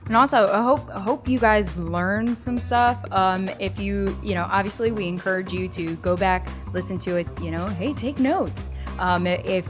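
A woman's voice: mid-range voice, normal speed, hardly any pauses, over music. Bubbly woman podcast co-host. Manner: bubbly, laughs often, teasing. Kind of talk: casual podcast chat.

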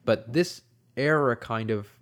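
A man in his twenties discussing film history casually, thoughtful and measured, with plenty of fillers. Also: medium pitch, fairly bright tone. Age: 20s.

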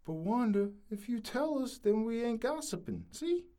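A woman's voice: on the high side, sing-song, normal speed, mellow. A woman playing an antsy, scolding small-town husband in a comic audio drama, fussy and folksy with a Southern US accent. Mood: nervous, excited.

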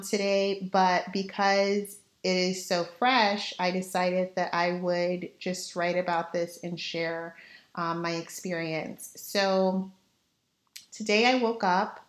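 Raspy-voiced young woman in an echoey room. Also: on the low side. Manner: chatty, candid, laughs at herself. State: raspy, tired voice from late night. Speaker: podcast host. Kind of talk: casual solo podcast chat.

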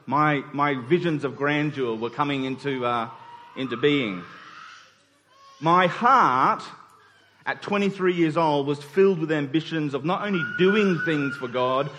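An Australian man in his forties delivers a reflective, personal sermon. His voice sits a little higher than average and rings with long hall reverb. He speaks conversationally, pausing to give phrases weight. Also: a bit bright. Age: 40s. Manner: conversational, reflective, with pauses for emphasis. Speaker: preacher.

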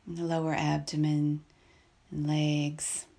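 A woman guides a meditation in a low, gravelly voice. She speaks softly and breathily, with a husky edge. Her phrases come very slowly and calmly, spaced out by long pauses. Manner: calm, unhurried, measured with long pauses.

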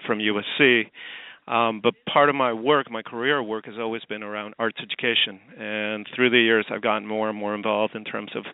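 A man from Chile speaks as a guest on a call-in radio show, thinking aloud with frequent ums and self-corrections. His voice is smooth and resonant. It comes through a tinny, dry phone line. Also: on the low side, no room sound. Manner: reflective, halting, many ums and restarts. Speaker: guest, artist and forum founder. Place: office.

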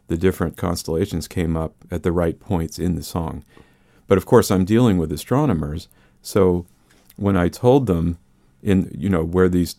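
Older man, deep baritone, dry studio sound, conversational explainer, interview guest. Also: warm tone, dry recording. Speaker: interview guest, space scientist and musician.

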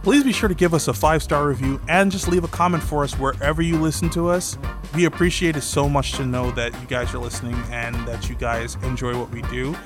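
A male podcast host with a medium-pitched voice talks casually and enthusiastically. He doubles back on his words, with soft music underneath. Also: fairly even intonation, fairly quick. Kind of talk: casual chat.

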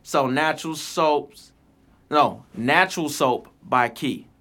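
A young man co-hosts a podcast in relaxed, slangy talk. He speaks in a low-key way and keeps tagging "man" onto the ends of his lines. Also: takes it slowly. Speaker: podcast co-host. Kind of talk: casual chat.

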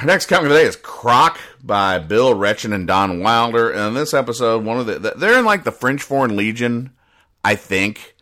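A man hosting a comedy podcast, explaining things in a deadpan way with halting restarts and emphatic repetition. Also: medium pitch. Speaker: podcast host. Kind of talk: deadpan comedic explanation of newspaper comic strips.

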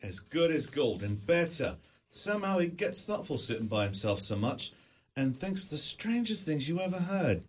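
An actor playing a warm, humble poor father in a radio play. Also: a little high, sing-song, moderate pace, a little hiss or hum. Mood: tender. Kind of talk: radio drama acting.

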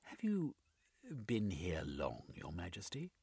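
A man's voice: low-pitched, wildly swooping pitch, slow pace, gravelly, darkish tone, husky, no room sound, heavily acted. A man voicing a wary traveller who speaks politely and deferentially. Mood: wary politeness.

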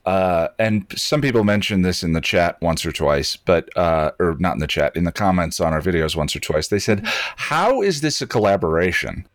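A man's voice: low voice, lively intonation, moderate pace, dry recording, clean studio sound. A man hosting a casual podcast conversation in a self-deprecating, hesitant manner. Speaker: podcast and channel host.

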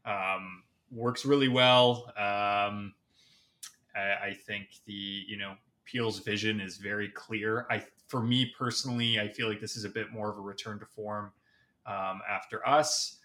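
Bright, slightly low-pitched man, casual guest. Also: fairly even intonation, a little slow. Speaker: podcast guest. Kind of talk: casual film review chat.

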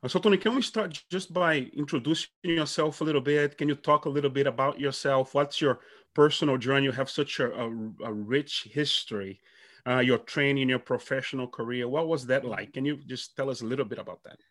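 A man's voice: mid-range voice, a little fast, fairly bright tone. Friendly male interview host. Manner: friendly, repeats words as he restarts. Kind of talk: conversational interview.

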